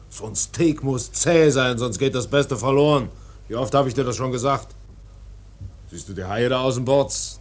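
Man playing gruff, bossy ship's mate. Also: medium pitch, close to an everyday voice. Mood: complaining. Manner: gruff, mocking, commanding. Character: bossy, teasing ship's mate fussy about his steak. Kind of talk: German radio play acting.

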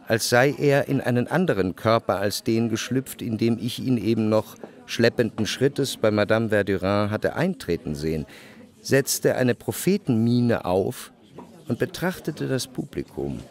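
An actor playing a reflective, refined writer narrating his memories in a measured, literary radio drama manner. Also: on the low side, animated. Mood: observant. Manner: measured, contemplative. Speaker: first-person narrator. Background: music behind the voice.

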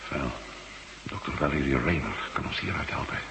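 A man's voice: rumbling low, lively intonation, moderate pace. A man acting as a determined, stubbornly hopeful former astronaut in a Dutch science-fiction radio drama, on a noisy old recording.

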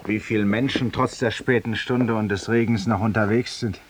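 An actor playing an urbane, talkative, self-mocking judge-penitent and tavern regular, with polished, ironic rhetoric in a 1950s German radio drama. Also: male voice, on the low side, fairly even intonation, moderate pace, background noise, lightly acted. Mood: brooding, wry. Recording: distant-sounding 1950s radio recording.

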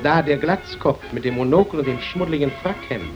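A man's voice: fairly high pitch, brisk, natural voice. A man playing a jealous, mocking young lover in an old radio drama. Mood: sardonic mockery. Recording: dull old radio recording with music behind.

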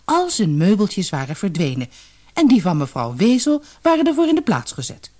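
A woman's voice: mid-range voice, huge pitch swings, moderate pace, warm tone. A woman narrating a scripted fairy tale audiobook as a storyteller.

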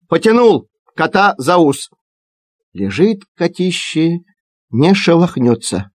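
A man reciting children's verse as a theatrical storyteller, expressive and dramatic. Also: on the high side, husky, dry recording, studio quality. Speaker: storyteller, performer. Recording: digitized cassette audio play. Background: music.

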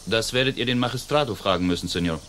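An actor playing a dutiful army sergeant in a German radio drama, speaking formally and curtly. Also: male voice, lowish voice, fast talker, fairly bright tone, slight background noise, natural voice. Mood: curt, official. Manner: formal, curt.

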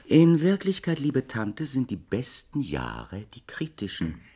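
A man's voice: medium pitch, wildly swooping pitch, measured pace, warm tone, exaggerated voice. An actor playing a wealthy, flippant bachelor in his thirties with urbane, mocking politeness, in a German radio play from around 1960. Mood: suavely teasing. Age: 30s. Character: wealthy, flippant bachelor nephew of thirty-eight, suavely evasive.

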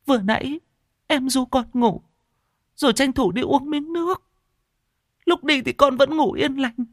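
A man voicing a young wife who is crying and stammering in panic, in a high, breaking voice. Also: a little slow, no echo, clean studio sound. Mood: tearful.

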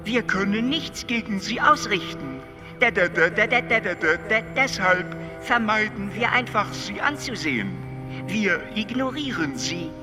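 High-pitched, detached alien over music. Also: male voice, unhurried. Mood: calm, matter-of-fact. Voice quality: stuttering, glitchy repeated syllables. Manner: calm, explanatory, detached. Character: detached, matter-of-fact stammering alien being. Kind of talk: radio drama acting. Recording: music under the voice.

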